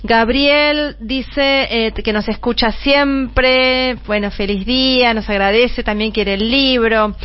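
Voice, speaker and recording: Una mujer conductora de radio con acento argentino, que conversa y lee mensajes de oyentes. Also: female voice, fairly high pitch, fairly even intonation, takes it slowly, few pauses, no room sound, highs cut off. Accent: Argentine Spanish. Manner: warm conversational, reading listener messages. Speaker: radio host. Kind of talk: radio talk show.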